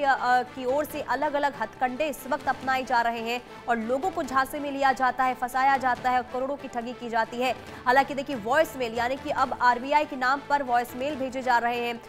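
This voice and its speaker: High-pitched woman news anchor, urgent. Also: a bit bright. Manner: urgent, cautioning, repetitive. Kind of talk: live breaking-news reporting, ad-libbed.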